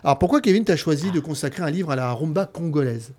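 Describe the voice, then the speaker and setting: A man's voice: on the high side, expressive, fast talker, darkish tone. A man hosting the show, introducing guests and asking them questions. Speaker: host. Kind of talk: host interview questions.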